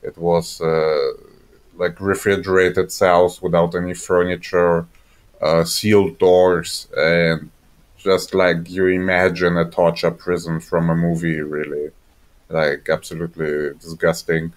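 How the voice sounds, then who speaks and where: A man co-hosting a podcast, explaining things to listeners in measured phrases with pauses. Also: sits low, flat delivery. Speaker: podcast co-host.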